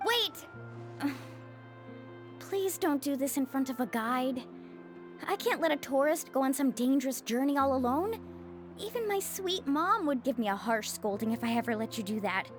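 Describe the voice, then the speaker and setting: Young female adventure guide for a game: high-pitched, animated, breezy, friendly and headstrong. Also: moderate pace, soft background music. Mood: firm. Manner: breezy, assured. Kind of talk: video game voice acting.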